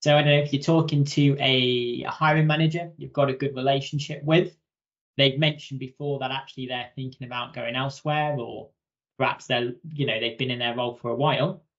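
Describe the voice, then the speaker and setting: A young woman giving an informal software tutorial as a webinar trainer. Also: extremely low pitch, fairly even intonation, fairly quick. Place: indoors on a video call. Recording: dull video call audio.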